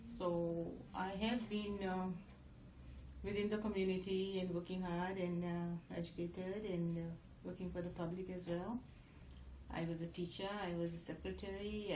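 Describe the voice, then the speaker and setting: A woman's voice: fairly low pitch, level tone, moderate pace, dark tone. A middle-aged woman from Fiji, a guest in a casual interview, talking earnestly with many ums and uhs. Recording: roomy echo, faint noise.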